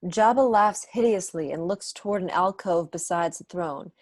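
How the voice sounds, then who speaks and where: A woman's voice: on the low side, normal speed, no room sound, clean studio sound. A young woman reading stage directions as scripted narration for an online table read. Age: young adult. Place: room at home, on a video call.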